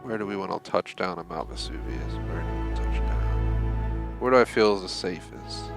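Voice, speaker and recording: A man's voice: sits low, normal speed, vocal fry, music in the background. A young man giving casual, rambling live commentary while playing a game, swearing when frustrated. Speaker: game streamer.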